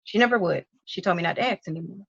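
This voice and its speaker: A woman's voice: fairly low pitch, fast, creaky, slightly rough, no room sound, muffled recording. A woman playing a hurt, exasperated young wife in a dramatic table read, speaking emotionally. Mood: wistful. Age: young adult. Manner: emotional, complaining.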